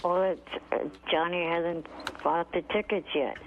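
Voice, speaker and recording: Through a tinny phone connection comes a man with a fairly high, creaky voice. He argues casually with the radio hosts, halting, defensive and quick to snap. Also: fairly even intonation. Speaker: phone-in regular on radio show. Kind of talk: casual, argumentative radio call. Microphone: telephone. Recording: tinny phone line, faint noise.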